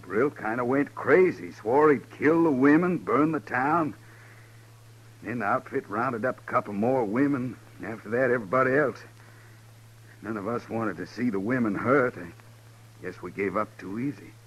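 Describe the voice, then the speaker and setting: An actor playing a beaten, resigned townsman who talks haltingly and plainly in a 1950s radio Western. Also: mid-range voice, slightly rough, lightly acted. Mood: weary, resigned. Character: beaten, weary male townsman held hostage. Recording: muffled old radio recording, steady hiss.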